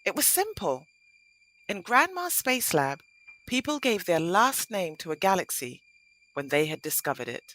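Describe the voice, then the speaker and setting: A woman narrating a scripted audio play in an expressive, dramatic storytelling manner. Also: fairly low pitch, moderate pace, poor audio.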